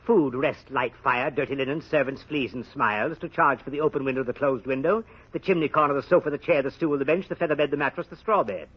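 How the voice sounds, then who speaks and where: A man's voice: mid-range voice, quick pace, hardly any pauses, lightly acted. An actor playing a cunning, greedy male innkeeper in an old-time radio drama. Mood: sly, grasping. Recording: muffled, husky-sounding old radio recording.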